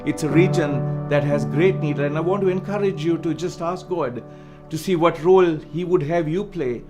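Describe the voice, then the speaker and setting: A middle-aged man from Sri Lanka, narrating a scripted personal testimony in an earnest, measured way. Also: a little high. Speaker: missionary speaker. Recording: voice-over with loud guitar music behind.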